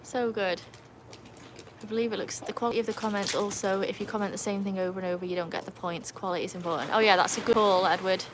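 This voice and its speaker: An English-accented woman hosts a relaxed livestream outdoors beside a pool, chatting freely and laughing often as she reads out comments. Her voice sits at a mid pitch for a woman, and a faint outdoor hum runs underneath. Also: quick pace. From England. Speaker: livestream host. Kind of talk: casual livestream chat.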